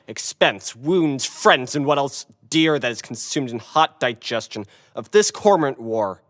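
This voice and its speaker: A man's voice: medium pitch, fairly bright tone, no echo, clean studio sound. A man playing a solemn king and father in a Shakespearean audio drama, speaking formally at council. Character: grave king and father presiding over council.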